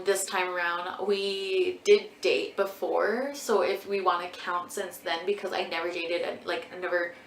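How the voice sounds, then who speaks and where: A woman's voice: on the low side, fairly bright tone, slight background noise. Rambling twenty-year-old woman, echoey room. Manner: rambling, full of ums and likes. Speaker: beauty vlogger. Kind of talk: casual Q&A chat.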